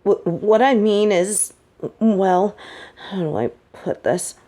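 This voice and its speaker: A woman's voice: mid-range voice, mellow, natural voice. A woman playing a sardonic, vengeful supervillain with a tender side for her partner, in an intimate audio roleplay. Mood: flustered, hesitant. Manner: teasing, sighing, halting when confessing.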